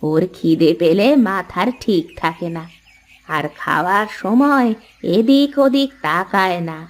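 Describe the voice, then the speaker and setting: Lady doing an old village mother-in-law for a cartoon, talks slow, soothing and kindly. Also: medium pitch, natural voice. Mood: fond, reminiscing. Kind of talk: cartoon voice acting in Bengali family story.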